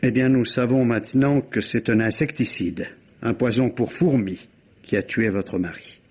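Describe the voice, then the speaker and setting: Un acteur qui joue, à la manière d'une dramatique policière radiophonique, un inspecteur de police poli, hésitant mais tenace, à la voix voilée. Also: male voice, mid-range voice, normal speed, a little mellow, breathy edge, natural voice. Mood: courteous, flustered. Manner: courteous, apologetic, hesitant with repeated words. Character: polite, persistent, hesitant male police inspector. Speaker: actor in a radio mystery play. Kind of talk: French radio mystery drama acting, 1950s manner. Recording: dull vintage radio recording.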